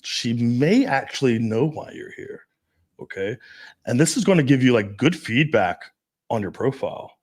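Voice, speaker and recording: A man talking casually as a dating coach, brash and profane, telling stories with animated asides. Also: medium pitch, moderate pace, breathy edge. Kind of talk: casual podcast chat.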